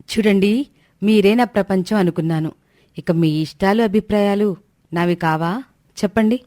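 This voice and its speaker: A woman's voice: medium pitch, normal speed, dark tone, no echo, lightly acted. A woman playing a devoted, affectionate yet firm young wife in a story, speaking expressively. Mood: reassuring. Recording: dry studio voice track.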